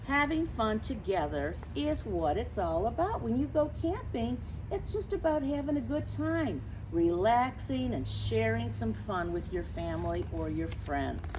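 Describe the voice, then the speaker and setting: A woman reading a picture book aloud to young children, chatting to them directly with questions and gentle reminders in a teacherly way. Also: a little high, big pitch swings, unhurried, few pauses. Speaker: story time reader for children. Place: outdoors near lawns being mowed. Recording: outdoor noise, mower and birds.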